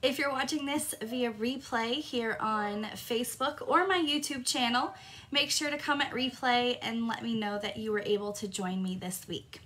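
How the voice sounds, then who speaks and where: A woman in her thirties hosting a live crafting tutorial from her home craft room, upbeat and a little hoarse, greeting viewers by name. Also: on the high side, normal speed, a bit bright. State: slightly hoarse, recovering from lost voice. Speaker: crafting demonstrator and host.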